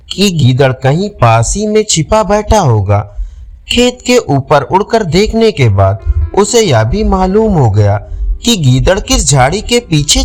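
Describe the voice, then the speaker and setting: A man's voice: high voice, darkish tone. A man narrating a scripted children's fable as a storyteller.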